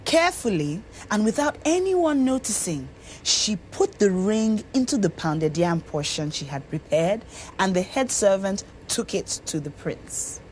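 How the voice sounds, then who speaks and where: A woman reading a scripted story as an expressive narrator, deliberate and dramatic. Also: mid-range voice, slight background noise, poor audio. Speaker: story reader. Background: music under the voice.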